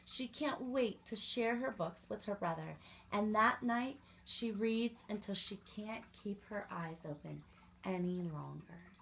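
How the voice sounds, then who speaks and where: A woman's voice: medium pitch, unhurried, creaky, fairly bright tone, low-quality recording. A woman reading aloud to young children as a story time host, expressively and with little asides to the listeners.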